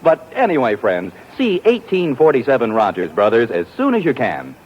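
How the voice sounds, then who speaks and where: A man announcing on a 1940s radio show and reading its commercial in a smooth, salesy, enthusiastic way. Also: mid-range voice, wildly swooping pitch, moderate pace, darkish tone, a little hiss or hum. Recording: dull lo-fi 1940s radio broadcast.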